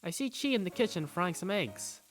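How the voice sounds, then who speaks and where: A woman's voice: on the low side, expressive, moderate pace, soft background music, natural voice. A woman playing an easygoing young protagonist, narrating and speaking in a visual novel fandub in a casual, wry, playful way. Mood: laid-back.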